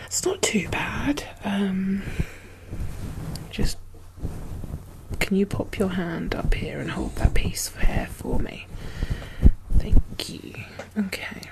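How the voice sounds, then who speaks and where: A woman playing a gentle, chatty medic in a zombie apocalypse ASMR roleplay, speaking softly and hesitantly with a reassuring manner. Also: medium pitch, slow pace, vocal fry, long pauses, poor audio. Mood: gentle concern. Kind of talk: soft-spoken, whispered ASMR roleplay.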